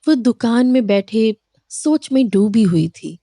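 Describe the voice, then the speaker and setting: A woman's voice: on the high side, expressive, unhurried, mellow, no echo. A woman narrating a scripted moral story for children.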